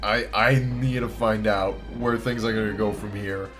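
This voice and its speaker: A man's voice: lowish voice, fairly even intonation, moderate pace, a bit bright, faint music behind. A man hosting a reaction video with casual, informal commentary, swearing and repeating phrases for emphasis.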